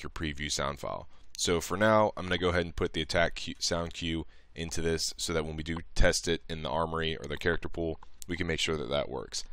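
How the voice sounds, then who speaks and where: A man narrating a casual step-by-step software tutorial in an informal, somewhat hesitant way, thinking aloud as he goes. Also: very deep voice, clear, resonant tone, no echo. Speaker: tutorial presenter.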